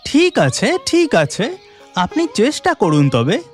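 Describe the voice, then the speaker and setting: A man's voice: sits high, huge pitch swings, slow pace, faint music behind, heavily acted. A man voicing an assured god in a cartoon folktale who speaks lovingly of his devotees. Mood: calm, confident.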